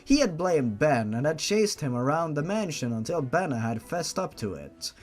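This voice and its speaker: A man's voice: a little high, sing-song, normal speed, soft background music. A man doing a dramatic reading of fan fiction, breaking off for exasperated, sarcastic asides. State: feeling unwell. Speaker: fan fiction reader and host.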